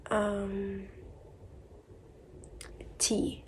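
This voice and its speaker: A woman's voice: mid-range voice, drawn-out words. A sick, congested woman chatting casually in a book vlog, rambling and thinking aloud as she goes. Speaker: book vlogger. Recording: steady background noise.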